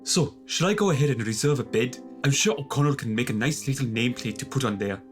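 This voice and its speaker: A man's voice: medium pitch, quick pace, faint music behind, lightly acted. A man playing an earnest, slightly hesitant ship's doctor and inventor in a sci-fi audio drama. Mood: wry, caring.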